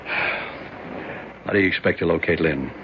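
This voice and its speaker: A grave, worried senator. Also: male voice, low voice, slow. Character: worried older male senator and father. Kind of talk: 1970s radio drama acting. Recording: dull lo-fi radio recording with background noise.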